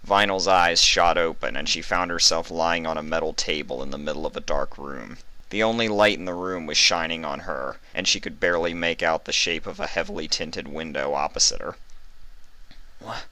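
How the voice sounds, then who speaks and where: A man's voice: rumbling low, brisk, sharp tone. A man reading fan fiction aloud as scripted story narration.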